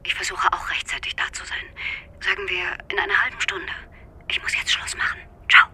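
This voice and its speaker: Bright-voiced, wry accomplice. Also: female voice, medium pitch, level tone, a little fast, in a whisper. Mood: brisk. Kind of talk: German radio drama acting.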